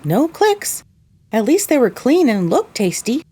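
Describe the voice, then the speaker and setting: Woman reading a story in first person, mellow highish voice, very expressive pitch. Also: measured pace, husky. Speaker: narrator.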